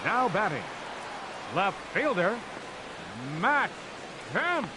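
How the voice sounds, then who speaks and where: Stadium PA announcer, loudspeaker sound, slow drawn-out delivery, animated pitch, formal, showy, video game. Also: male voice, background noise, exaggerated voice. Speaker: stadium public address announcer.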